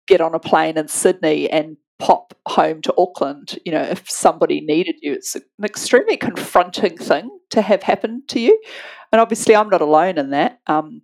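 Chatty New woman, low voice. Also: darkish tone. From New Zealand. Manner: candid and chatty, laughs easily. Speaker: guest, anaesthetist. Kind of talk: casual podcast interview.

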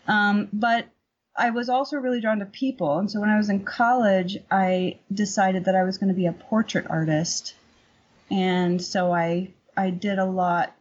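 A middle-aged woman with a husky voice, talking candidly and informally as an interview guest, rambling a little. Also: mid-range voice, lo-fi sound. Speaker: painter, podcast guest. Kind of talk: casual interview chat.

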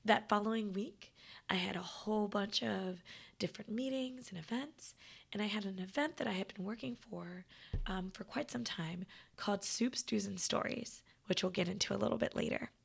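A woman podcast host with a hoarse, raspy voice she has partly lost, talking in a halting half-whisper in a casual, reflective monologue. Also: medium pitch. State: hoarse, losing her voice.

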